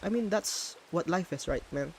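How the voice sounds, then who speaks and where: A man from the Philippines talks casually in a Filipino accent while building in a game, his voice sitting a little high. He often pauses mid-sentence to find the right English word, since Tagalog is his first language. A faint hiss runs under the recording. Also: lively intonation, gravelly. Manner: thinks aloud, pauses to hunt for English words. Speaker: streamer.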